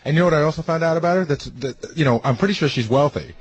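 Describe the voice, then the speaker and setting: A man's voice: on the high side, very fast, husky. A man working on a radio show, chiming in with casual remarks.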